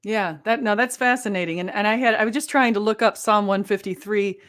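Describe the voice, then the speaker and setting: A woman's voice: mid-range voice, a little fast, a bit bright. A woman in her forties hosting a casual interview, chatty, laughing often and thinking aloud. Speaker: host.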